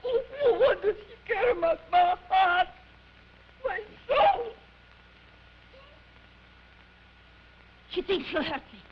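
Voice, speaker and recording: Shrill, vain opera diva. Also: female voice, expressive, moderate pace. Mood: wounded, spiteful. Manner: theatrical, wailing, shrieking tantrums. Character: vain, hot-tempered, melodramatic female opera diva. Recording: muffled, noisy old film soundtrack.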